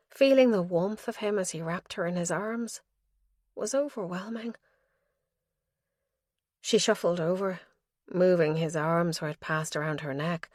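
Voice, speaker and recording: A woman's voice: medium pitch, dry recording, studio quality. A woman reading scripted narration as the narrator of a mystery audiobook.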